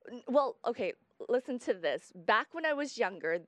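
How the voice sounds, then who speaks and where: A woman's voice: a little high, very animated, normal speed, no room sound. A young woman from Guatemala, a guest in a casual interview, explaining at length with fillers.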